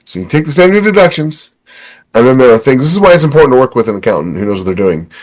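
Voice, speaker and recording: A man's voice: medium pitch, big pitch swings, very fast. A man in his fifties giving casual, emphatic, explanatory advice in a conversational chat. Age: 50s. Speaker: podcast co-host.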